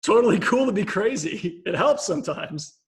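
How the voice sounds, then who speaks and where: A man's voice: high voice, lively intonation, normal speed. Man musing in casual chat. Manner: thoughtful, hedging, repeats words as he builds a point. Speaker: podcast co-host.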